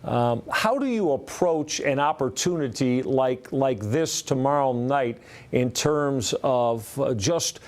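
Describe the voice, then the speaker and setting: A man's voice: medium pitch, expressive. Wordy American male sports host. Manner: wordy, halting questions built in long winding clauses. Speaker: sports show host interviewing a guest. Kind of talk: conversational sports interview.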